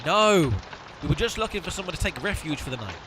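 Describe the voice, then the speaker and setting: A man acting the long-suffering leader of a comic duo, bossy and exasperated. His fairly high voice swings up and down in a radio play with faint background noise. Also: animated, quick pace, natural voice. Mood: exasperated, insistent. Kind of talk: amateur comedy audio drama acting.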